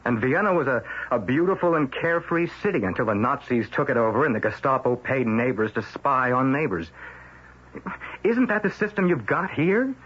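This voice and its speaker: A man's voice: medium pitch, expressive, normal speed, fairly bright tone, slightly rough. An actor playing a brash, stubborn, suspicious male newspaper reporter in an old-time radio drama, wisecracking and insistent. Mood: indignant. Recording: dull vintage radio recording.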